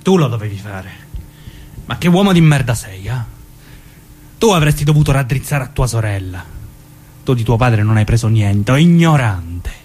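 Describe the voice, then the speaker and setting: An actor playing a menacing, evasive southern Italian mafioso in a theatrical dramatic reading, with a southern accent. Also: male voice, medium pitch, very animated, normal speed, pauses often, darkish tone, husky. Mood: accusing, scornful.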